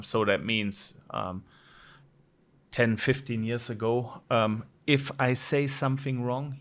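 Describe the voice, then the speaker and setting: Slow, halting English-speaking man. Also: mid-range voice, a bit bright. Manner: halting, many ums and restarts. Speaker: guest, managing director. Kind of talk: casual interview chat.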